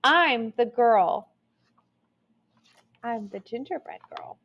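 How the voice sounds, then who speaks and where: A woman's voice: a little high, lively intonation, takes it slowly, lo-fi sound, heavily acted. A woman voicing a sassy, confident young gingerbread cookie girl with singsong rhyming taunts in a children's storybook read-aloud. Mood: playful, boastful.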